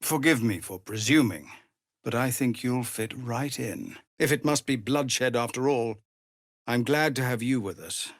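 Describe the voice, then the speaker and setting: An actor playing a weary, dutiful leader of refugees in a video game, speaking in an earnest, measured, formal manner. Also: male voice, medium pitch, dry recording, studio quality. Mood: grave, earnest. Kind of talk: dramatic video game voice acting.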